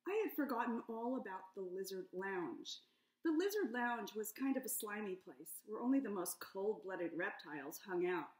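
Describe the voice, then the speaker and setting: A middle-aged woman reading her own children's picture book aloud at home, in a playful, expressive detective-story style. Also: mid-range voice, normal speed. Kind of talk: storybook read-aloud narration. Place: home room with high ceiling. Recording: echoey room.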